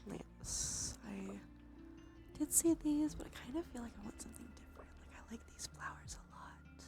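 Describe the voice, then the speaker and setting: A woman's voice: a little high, animated, normal speed, creaky. Whispering woman doing cozy ASMR gaming commentary. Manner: murmured thinking aloud, musing. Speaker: livestreamer. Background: music.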